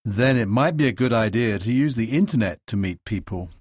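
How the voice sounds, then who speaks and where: A man reading scripted dialogue lines in a language lesson, steady and carefully articulated. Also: lowish voice, fairly quick, breathy edge, no echo, clean studio sound.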